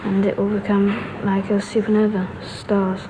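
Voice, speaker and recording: A young girl speaks deliberately to an interviewer. Her voice is medium in pitch and even, on a noisy 1960s archival recording. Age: child or teenager.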